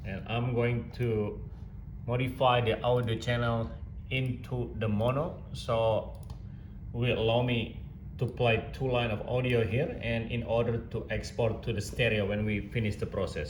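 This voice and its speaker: A man's voice: fairly low pitch, steady tone, measured pace. Man giving tutorial in echoey, noisy room. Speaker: instructor demonstrating audio digitisation software. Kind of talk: step-by-step software tutorial, informal. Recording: echoey room, clear background noise.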